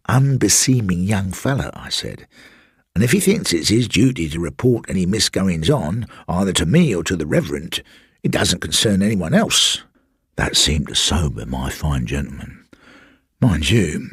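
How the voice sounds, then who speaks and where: A man's voice: low-pitched, fairly bright tone, husky, no echo, studio quality, full character voice. A man voicing a garrulous old railway porter who rambles confidingly and keeps addressing his listener as sir. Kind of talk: audiobook storytelling.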